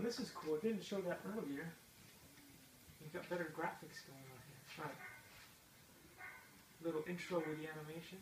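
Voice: medium pitch, reverberant. Middle-aged parent coaching a kid at a video game, encouraging, far from the mic, noisy room. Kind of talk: casual chat while watching gameplay. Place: indoor room at home with a TV. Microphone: distant camera mic.

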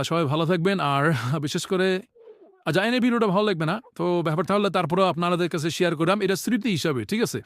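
A young man chats loosely to camera, pausing on fillers as he gathers his thoughts. His voice sits a little high and sounds close, with a dry and unechoing quality. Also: no echo. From Bangladesh. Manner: relaxed, hesitant with many fillers. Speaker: vlogger interviewing a friend. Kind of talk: casual outdoor vlog chat. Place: outdoors in a park.

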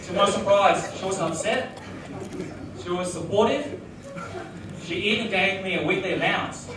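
A young man doing a stand-up comedy set in a small restaurant bar, delivering deadpan one-liners and laughing at his own lines. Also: high voice, moderate pace, noisy background. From Australia. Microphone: handheld mic, filmed from far back. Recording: echoey room from far off, loud crowd.

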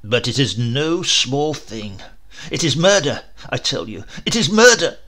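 A man voicing a scorned, bitterly wounded gentleman in a horror storybook, impassioned and melodramatic, swinging between hushed and loud. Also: medium pitch, sing-song, unhurried, exaggerated voice. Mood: anguished rage. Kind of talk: dramatic storybook reading.

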